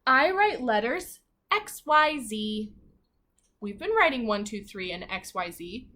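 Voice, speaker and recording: A woman's voice: a little high, big pitch swings, slow pace, sharp tone. A woman teacher reading a children's book aloud expressively, adding chatty asides and questions addressed directly to the children. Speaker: primary school teacher reading aloud to pupils.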